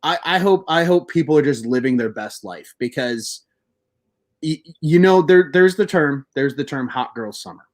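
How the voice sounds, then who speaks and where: A man in his twenties co-hosting a sports podcast, talking in an excitable, rambling way and swearing freely. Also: on the high side, moderate pace. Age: 20s. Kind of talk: casual sports chat.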